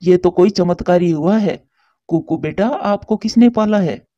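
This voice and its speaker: A man voicing a kindly, calm grown male bird in a cartoon story, speaking in a reassuring, avuncular way. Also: sits high, unhurried, no echo, dull audio. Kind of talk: Hindi cartoon story character voice.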